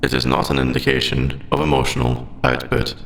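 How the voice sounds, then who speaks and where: A very gravelly, creaky AI character acted by a man speaks in stilted, formal phrases with a lively, swooping pitch. He is polite and literal, a sentient machine in a sci-fi roleplay audio drama. The voice sits in a roomy echo. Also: a little fast, slight background noise, exaggerated voice. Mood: matter-of-fact. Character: male sentient AI, polite, literal, hiding forbidden feelings.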